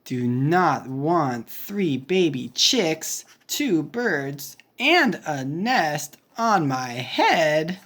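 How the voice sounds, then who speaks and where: Woman playing a slow, fretful storybook elephant. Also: low voice, big pitch swings, a bit bright, exaggerated voice. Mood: exasperated. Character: reluctant, fretful elephant from a picture book.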